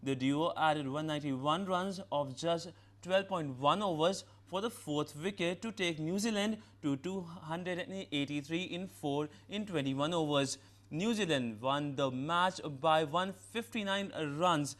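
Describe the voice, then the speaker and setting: A man's voice: on the high side, unhurried, fairly bright tone. A man with an Indian accent reading scripted news as an anchor in a formal manner, occasionally stumbling.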